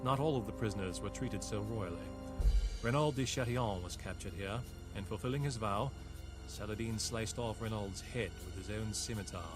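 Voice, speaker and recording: An actor playing a weary, reflective captured crusader knight, narrating a game story in a solemn, measured way. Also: male voice, on the low side, lightly acted. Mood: grave, reflective. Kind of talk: scripted narration. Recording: game cutscene with music underneath. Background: music.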